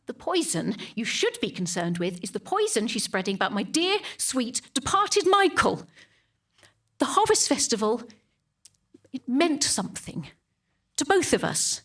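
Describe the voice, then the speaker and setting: A British woman acting a devout, defensive churchgoer with a guilty secret, delivering a halting, indignant dramatic monologue that repeats phrases for emphasis. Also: fairly high pitch, fairly bright tone, husky, full character voice. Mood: defensive, prim. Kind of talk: dramatic monologue to a police officer.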